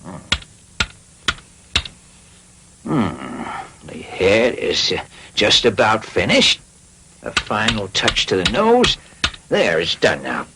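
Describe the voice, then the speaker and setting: Excitable old woodcarver, wildly swooping voice. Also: male voice, lowish voice, slow pace, noisy background, exaggerated voice. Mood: fond, musing. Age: older. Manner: muttering to himself, exclaiming. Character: kindly, excitable old woodcarver, easily exasperated father. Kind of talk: animated cartoon dub acting. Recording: music behind the voice.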